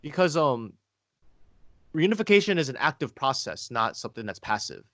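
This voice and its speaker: A man leads a podcast conversation, laying out history step by step in a steady, explaining voice. He speaks close to the mic in a dry room with no echo, and his pitch sits in an ordinary middle range. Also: moderate pace. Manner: explanatory, steady, asks leading questions. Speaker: podcast host.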